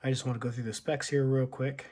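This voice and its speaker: A man with a hoarse voice from being sick, casually thinking aloud with many pauses and fillers as he walks through an old laptop's setup and specs. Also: mid-range voice, little pitch movement, darkish tone. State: hoarse from illness. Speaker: tech video host. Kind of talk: casual unboxing walkthrough.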